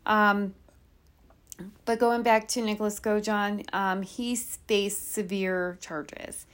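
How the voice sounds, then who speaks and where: A woman's voice: medium pitch, fairly even intonation, slow pace. A woman hosting a podcast, telling a true crime case in an informal, conversational way with asides and rhetorical questions. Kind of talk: conversational storytelling from notes.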